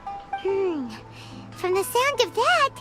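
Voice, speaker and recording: A woman voicing a tiny, chirpy, curious childlike fairy companion in a video game, with a squeaky voice. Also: sing-song, slow pace, over music, full character voice. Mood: curious, puzzled. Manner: squeaky, talks of herself in third person.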